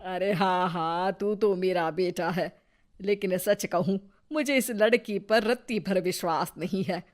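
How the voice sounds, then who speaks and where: A woman's voice: on the low side, a little slow, natural voice. A woman voicing a scornful, suspicious older mother-in-law in a cartoon story, with a taunting, scolding manner. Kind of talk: cartoon voice acting.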